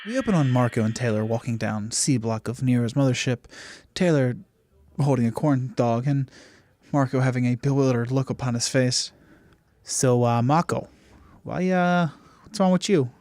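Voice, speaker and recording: A man's voice: medium pitch, normal speed, darkish tone, no room sound. A man narrating a tabletop game as game master, improvising in a casual, slangy way. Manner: informal, slangy, swearing. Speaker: game master and podcast host.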